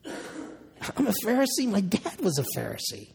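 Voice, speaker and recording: A man delivers a verse-by-verse sermon, reading a passage and then restating it in the first person. His voice sits high for a man and has a husky, gravelly edge. It rings with the long reverb of a large hall. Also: huge pitch swings, unhurried, a little mellow. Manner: reads scripture aloud then paraphrases it in first person. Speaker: preacher. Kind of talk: expository Bible sermon.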